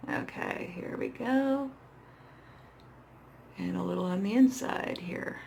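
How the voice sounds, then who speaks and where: A middle-aged woman with a hoarse voice, chatting casually and playfully to herself as she demonstrates makeup, often poking fun at herself. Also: mid-range voice, huge pitch swings, takes it slowly, mellow, slight background noise. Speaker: makeup vlogger. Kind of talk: casual makeup tutorial chat, talking to herself while working. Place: small indoor setup with dark backdrop.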